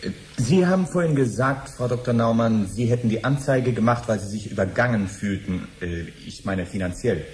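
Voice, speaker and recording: An actor playing a polite, hesitant official questioning witnesses in a 1970s radio play. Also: male voice, medium pitch, moderate pace, a little mellow, natural voice. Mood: hesitant, formal. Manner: hesitant, formal. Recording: faintly noisy 1970s radio recording.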